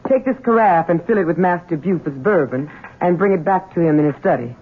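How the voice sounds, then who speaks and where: An actress playing a deferential, kindly elderly housekeeper in a 1970s radio drama, speaking hesitantly. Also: female voice, lowish voice, expressive, fairly quick, slightly rough. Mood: wry. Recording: narrow-band old radio broadcast.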